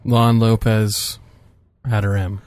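A man's voice: on the low side, little pitch movement, slow pace, a little mellow, no room sound. A man co-hosting a podcast with casual, joking banter, cutting in with playful wisecracks. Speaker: podcast co-host.

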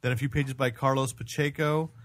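A man's voice: mid-range voice, fairly even intonation, a little fast, dry recording. A man co-hosting a podcast, chatting casually about comic books. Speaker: podcast host.

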